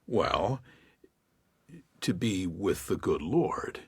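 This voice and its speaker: A man playing a gentle, reflective preacher of seventy-six in a first-person audiobook, with a measured, husky, aged voice. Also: low-pitched, very animated, no room sound, exaggerated voice. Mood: tender. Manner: measured, tender, musing. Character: gentle, reflective 76-year-old Midwestern preacher writing to his son. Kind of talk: first-person audiobook narration with acted dialogue.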